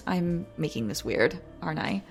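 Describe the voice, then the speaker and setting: A woman playing a nervous, lovesick young pop star in an intimate audio roleplay, speaking in a halting, flustered way. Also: low voice, lively intonation, soft background music, exaggerated voice. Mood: shy, flustered delight. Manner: flustered, halting, self-interrupting, breathy sighs.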